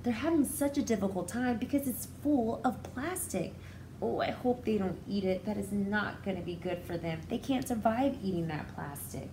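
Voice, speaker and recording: Female preschool educator for picture-book read-aloud. Expressive, very varied intonation, mellow tone, explains simply. Noticeable background noise. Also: medium pitch, moderate pace, poor audio. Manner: expressive, simplifies and repeats for young listeners. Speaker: educator reading aloud to young children. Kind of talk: children's storybook read-aloud with explanatory asides.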